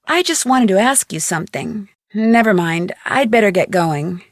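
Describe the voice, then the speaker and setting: A woman's voice: medium pitch, expressive, moderate pace, no room sound, clean studio sound, close to an everyday voice. An actress playing a young American female undercover sleuth in a video game, polite and straightforward, with an American accent. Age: young adult. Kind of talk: clean video game dialogue.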